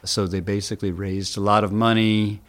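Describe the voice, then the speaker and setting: A man with a low voice talks as an editor being interviewed about the news. He explains things step by step in plain, informal language. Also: flat delivery, moderate pace. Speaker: senior editor, interviewed guest. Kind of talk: conversational explanation of a news story.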